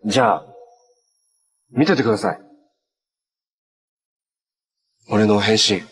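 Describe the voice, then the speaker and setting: An actor playing a cheerful, gentle young adventurer hero in a live-action TV drama, speaking in a friendly, earnest, casually polite way. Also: male voice, lowish voice, moderate pace, breathy edge. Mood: quiet, resolute. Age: young adult. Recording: faint background noise on TV dialogue.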